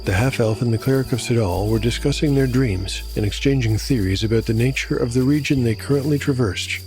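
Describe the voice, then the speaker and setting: Man narrating fantasy tale over clear music. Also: mid-range voice, brisk, a little mellow. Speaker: solo game master and narrator. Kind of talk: scripted fantasy audiodrama narration and game commentary.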